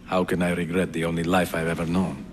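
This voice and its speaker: Casting: male actor for a resentful young assassin in a game, deep register, brooding delivery. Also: level tone, quick pace, slight background noise, lightly acted. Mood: subdued, bitter. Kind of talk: dramatic game dialogue.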